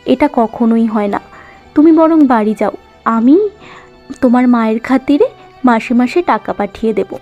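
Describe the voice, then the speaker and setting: A kindly, dignified lady of the manor in a cartoon, voiced by a woman. Her voice is high and emphatic, with benevolent authority. Music plays under slightly dull audio. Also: normal speed, pauses often, warm tone, lightly acted. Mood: protesting, kindly. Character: kindly, dignified landlord's wife, insistent benefactor. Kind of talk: cartoon moral-story voice acting. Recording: muffled lo-fi audio with music bed.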